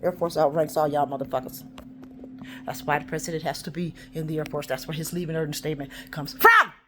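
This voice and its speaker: A woman ranting in a rambling, profane monologue, swinging between loud outbursts and low muttering. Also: quick pace, a little hiss or hum. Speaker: vlogger.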